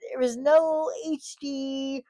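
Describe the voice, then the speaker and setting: A woman in her thirties chats to her vlog audience, meandering through thoughts with many fillers and false starts. Her voice sits a little high, and she speaks at a slow, unhurried pace. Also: steady tone, warm tone. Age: 30s. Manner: rambling, many fillers and restarts. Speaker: vlogger. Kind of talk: casual monologue to camera.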